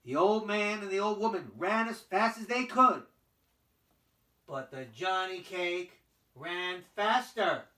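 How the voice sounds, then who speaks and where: A bright-voiced storyteller narrates a folktale theatrically, with wide swings of pitch. The recording is roomy, with the voice some way from the microphone. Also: animated, far from the mic. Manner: theatrical, deliberate phrasing for children. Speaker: puppet show storyteller. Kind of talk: animated scripted folktale narration.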